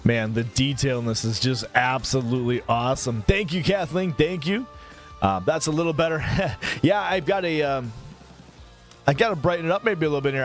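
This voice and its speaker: A man in his mid-thirties chatting casually on a live stream, rambling between topics and thanking viewers by name. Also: medium pitch. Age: 30s.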